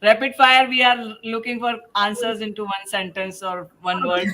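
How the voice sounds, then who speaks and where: A woman hosting an informal panel, speaking in a halting way and often breaking toward a laugh. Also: mid-range voice, flat delivery, bright, forceful tone, rough recording. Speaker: podcast host and panel moderator.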